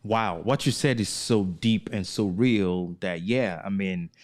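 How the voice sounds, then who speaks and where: Host, male, lowish voice, eager and encouraging, keeps tossing in tag questions. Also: unhurried. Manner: enthusiastic, frequent tag questions. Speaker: podcast host. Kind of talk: upbeat interview hosting.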